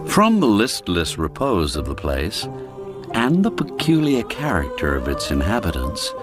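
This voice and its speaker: A man narrating an audiobook story in a measured, deliberate way with dramatic pauses. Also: sits low.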